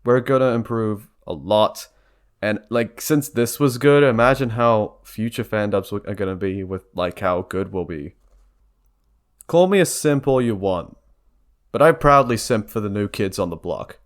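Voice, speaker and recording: A man's voice: fairly low pitch, measured pace. A young man talking casually and earnestly in a rambling, informal way, as a video creator addressing viewers. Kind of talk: casual vlog talk to camera or mic.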